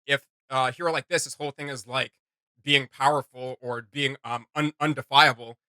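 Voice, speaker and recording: A man's voice: mid-range voice, steady tone, moderate pace, sharp tone, smooth, no room sound. A man hosting a reaction video, giving casual, opinionated commentary in an analytical, emphatic way.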